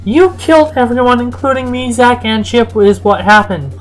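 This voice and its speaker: Woman as know-it-all cartoon sidekick guy, raised pitch, emphatic, faint music underneath. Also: normal speed, natural voice. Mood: accusing.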